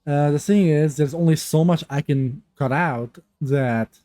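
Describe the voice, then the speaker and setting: A man's voice: on the high side, unhurried, warm tone, dry recording, studio quality. A man with a stuffy nose from a cold, chatting casually over his gameplay on a new, untuned mic, rambling with many restarts. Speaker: gaming youtuber.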